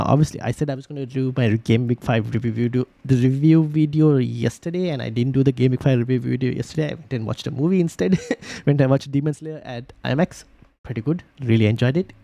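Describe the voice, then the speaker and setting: A man with a gravelly, creaky voice hosts a casual live stream. He answers viewer questions loosely and thinks out loud, stopping to restart his sentences. The sound is close and dry, with no room echo. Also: medium pitch. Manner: thinks aloud, reads viewer comments, many fillers and restarts. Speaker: fantasy football streamer.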